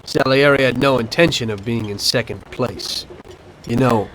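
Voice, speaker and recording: A man's voice: medium pitch, moderate pace, background noise, close to an everyday voice. An actor playing a former cab driver turned mafioso who coolly tells his story to a detective in a game cutscene. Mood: calm. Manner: calm, matter-of-fact storytelling. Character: male mafioso turned informant, calm and unrepentant. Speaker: narrator of his past. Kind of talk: video game cutscene acting.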